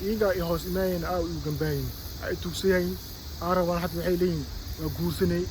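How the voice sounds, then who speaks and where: A man from Somalia with a Somali accent, talking off the cuff in a halting, repetitive way as a vlogger. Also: high voice, fairly even intonation, background noise. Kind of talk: unscripted vlog talk.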